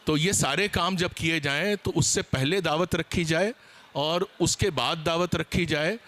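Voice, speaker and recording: A man speaking as a panelist at a seminar, explaining his points in a deliberate, hesitant way. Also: on the high side, fairly bright tone.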